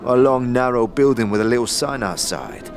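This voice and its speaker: A man's voice: medium pitch, normal speed, over music, natural voice. A man playing a flamboyant, sly street tout in an audio drama, with a theatrical, slangy, chuckling manner. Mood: sly, breezy. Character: flamboyant, sly sandy-skinned street tout offering his services. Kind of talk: audio drama character acting.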